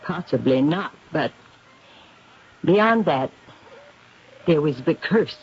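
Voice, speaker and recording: A husky lady of the manor, weighed down by guilt and drawn to the occult. Her delivery is measured and dramatic, with wide pitch swings, on a muffled old radio recording. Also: animated, exaggerated voice. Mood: grave, confiding. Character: guilt-ridden titled lady devoted to spiritualism. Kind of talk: 1970s radio drama acting. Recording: muffled vintage radio broadcast.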